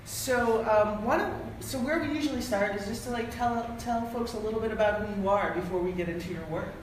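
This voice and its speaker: A woman's voice: mid-range voice, brisk. A woman from the US East Coast hosting a live interview stream, upbeat and bubbly with many ums. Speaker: podcast host. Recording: echoey room with light hiss.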